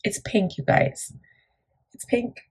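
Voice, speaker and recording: A woman with a gravelly morning voice, chatting casually through a makeup tutorial with lots of fillers. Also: takes it slowly, background noise. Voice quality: gravelly, deep. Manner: chatty, rambling, many fillers. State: morning voice, a bit crackly. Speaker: beauty vlogger.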